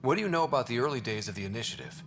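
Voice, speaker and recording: Game lead: curious young male explorer, fast-talking, casual and direct, fry at the edges. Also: medium pitch, lively intonation, fairly bright tone, a little hiss or hum. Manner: informal, blunt, questioning. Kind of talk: scripted video game dialogue.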